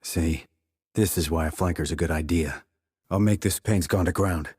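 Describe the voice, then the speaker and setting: An actor playing a terse, dry, confident military sniper in a video game, with a husky voice and clipped, controlled reports. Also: male voice, deep voice, moderate pace, darkish tone, no echo. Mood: businesslike. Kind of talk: scripted military game dialogue.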